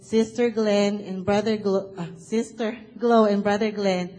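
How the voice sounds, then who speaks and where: A woman's voice: mid-range voice, steady tone, unhurried, mellow. A woman from the Philippines with a Filipino accent, whose first language is Tagalog, earnestly introducing the guest speaker at a church service. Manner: earnest, enthusiastic. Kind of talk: formal introduction. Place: church sanctuary.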